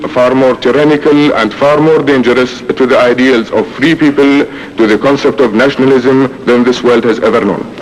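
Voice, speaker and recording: A man delivering formal political oratory in an emphatic, rhetorical manner. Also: medium pitch. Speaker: delegate addressing an assembly. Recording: faintly noisy archival speech recording.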